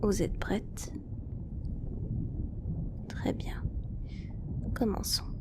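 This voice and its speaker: A woman playing an attentive, easily flustered android technician in a French ASMR roleplay, whispering politely with a creaky, breathy edge. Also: extremely slow. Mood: gentle, clinical.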